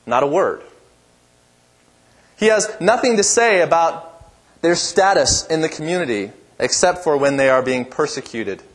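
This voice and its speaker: A pastor delivering a sermon in a church with a strong, powerful voice and an emphatic, repetitive preaching cadence. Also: male voice, fairly high pitch, rough recording.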